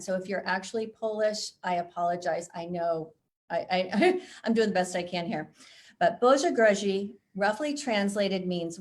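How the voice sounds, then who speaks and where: Chatty self-deprecating woman author. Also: mid-range voice. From Chicago, USA. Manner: self-deprecating, laughs often. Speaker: author guest. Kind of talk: conversational interview and book reading.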